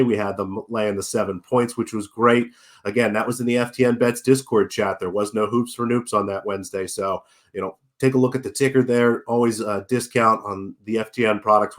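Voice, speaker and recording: Guy with a stuffy nose from a cold, kinda low voice, chatty betting picks host, lots of ums. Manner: chatty, many fillers and restarts. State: nasal from a cold. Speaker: sports betting show host. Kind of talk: casual betting analysis video.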